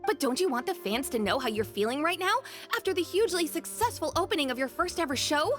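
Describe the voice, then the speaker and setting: An actress playing an inquisitive, persistent entertainment reporter in a video game, with polished delivery. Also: female voice, high-pitched, animated, fast, a bit bright, soft background music. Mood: coaxing. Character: bright-eyed, inquisitive female entertainment reporter.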